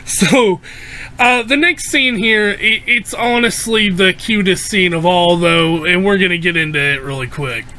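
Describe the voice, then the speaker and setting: A man with a high, bright voice talks casually and loosely, full of fillers and restarts. His voice is wearing hoarse as he chats through an anime episode. The tone is light and sharp, pitched above most men. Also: a little slow. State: losing his voice. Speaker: anime reviewer. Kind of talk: casual spoken episode review.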